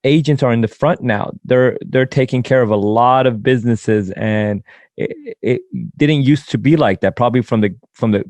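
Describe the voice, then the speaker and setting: A man's voice: medium pitch, normal speed, darkish tone, no room sound. A man hosting a podcast, asking questions with enthusiastic, repeated emphasis. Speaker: podcast host. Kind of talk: interview questions.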